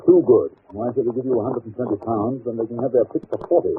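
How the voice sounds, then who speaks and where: An actor playing a shrewd, grave gentleman detective in an old-time radio drama, speaking deliberately and theatrically. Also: medium pitch, narrow, tinny audio, natural voice. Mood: musing. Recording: noisy, thin old radio recording.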